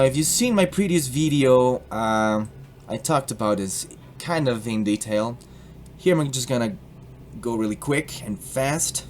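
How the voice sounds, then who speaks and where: A young man with a Colombian accent casually explaining a software tutorial, joking and slipping into put-on accents. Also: mid-range voice. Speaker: tutorial host.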